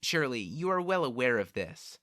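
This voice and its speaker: Guy doing a snooty cruel young noble lady, higher voice, talks down to everyone, storybook narration. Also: sing-song, fairly quick, sharp tone, no room sound, studio quality. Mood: imperious, probing. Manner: haughty, formal, condescending. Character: proud, imperious young noblewoman, cold and sadistic.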